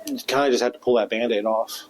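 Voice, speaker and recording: A man with a monotone voice, a stand-up comedian guest talking loosely on a podcast, full of fillers and restarts. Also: mid-range voice, fast, poor audio. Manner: rambling, loose, with many fillers. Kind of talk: casual podcast interview.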